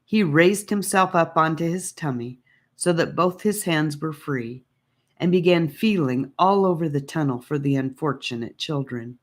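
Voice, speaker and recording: A woman's voice: deep voice, expressive, normal speed. A woman reading an adventure audiobook as a dramatic storybook narrator.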